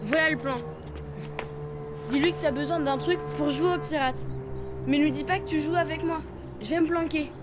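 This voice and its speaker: A woman's voice: high voice, moderate pace, long pauses, natural voice. A woman voicing a stubborn, scheming young boy elephant in a French children's cartoon. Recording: music behind the voice.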